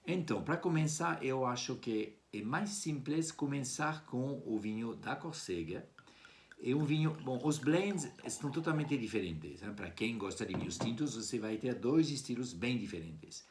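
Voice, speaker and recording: A man's voice: mid-range voice, lively intonation, normal speed. A man from France with a French accent, a native French speaker, giving an informal wine tasting presentation with somewhat hesitant phrasing. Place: at home indoors.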